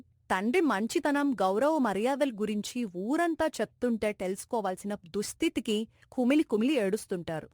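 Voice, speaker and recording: A woman's voice: a little high, animated, normal speed, a little mellow, no room sound, clean studio sound. A woman reading scripted narration for a moral story.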